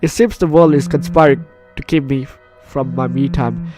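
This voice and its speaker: A man's voice: mid-range voice, normal speed. A man hosting a visual novel let's play, reading narration aloud with goofy, informal asides and stumbles. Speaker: gaming video host. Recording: game music behind the voice.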